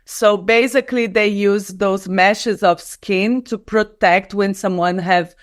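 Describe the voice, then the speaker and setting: A woman in her mid-thirties speaks English with a Brazilian Portuguese accent at a medium pitch. She talks as an interview guest, telling her story and explaining her research in an earnest, conversational way, with slightly non-native phrasing. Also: fairly even intonation, slow pace. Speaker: guest, skin and stem cell researcher.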